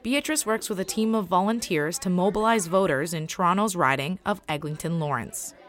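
A woman's voice: on the low side, brisk. A woman narrating as a podcast host from a script.

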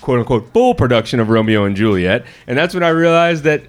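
A man's voice: medium pitch, lively intonation, a little fast. Articulate actor guest in his thirties. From New Orleans. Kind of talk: casual chat.

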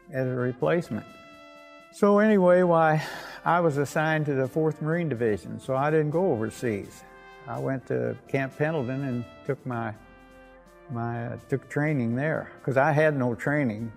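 Gravelly elderly man reminiscing. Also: mid-range voice. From Evansville, Indiana, United States. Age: 80s or older. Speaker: war veteran. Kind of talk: spoken reminiscence.

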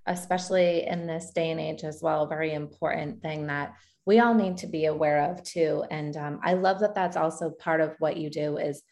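A woman's voice: low-pitched, fairly even intonation, moderate pace, vocal fry, hardly any pauses, a little mellow. A woman hosting an interview podcast, introducing her guest and affirming what she says in a friendly way. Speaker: podcast host.

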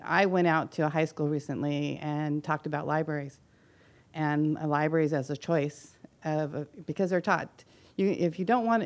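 Low-voiced woman sharing her story at a conference, loud and earnest, lots of restarts. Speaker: audience member. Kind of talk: conference discussion.

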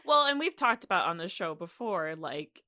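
A woman's voice: on the low side, big pitch swings, moderate pace, sharp tone. A woman co-hosting a podcast, talking casually and slangily like a storyteller among friends. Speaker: podcast co-host. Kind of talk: casual, chatty podcast discussion.

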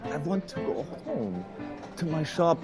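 A man playing a troubled, guilt-ridden immigrant tradesman with a German accent, halting and searching for words in an audio drama. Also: fairly high pitch, sing-song. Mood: anguished, hesitant. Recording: music and bar crowd behind.

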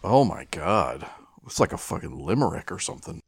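A man's voice: low-pitched, big pitch swings, brisk, breathy edge, no room sound, clean studio sound. A tipsy man co-hosting a comedy podcast, reacting with mock exasperation and casual swearing. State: tipsy after three shots. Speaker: podcast co-host.

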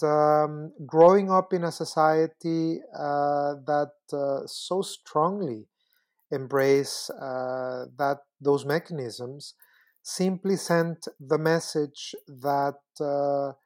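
A man in his fifties from Costa Rica, answering interview questions in a measured, deliberate way with frequent pauses. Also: a little high, fairly even intonation, a little mellow. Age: 50s. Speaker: guest, UN human rights expert.

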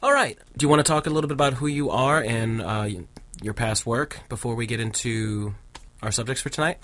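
A man's voice: medium pitch, fairly quick, fairly bright tone. A man hosting a podcast-style show, reading a prepared introduction of his guest. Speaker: host.

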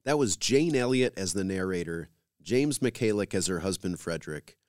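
A man's voice: sits low, expressive, moderate pace, darkish tone, no room sound, clean studio sound. A man hosting a radio program, reading scripted introductions and credits as a presenter.

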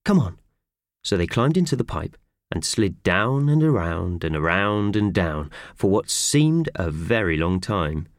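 A man narrating a children's audiobook in an expressive, scripted storytelling style. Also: deep voice, a little slow, no echo, clean studio sound. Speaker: audiobook reader.

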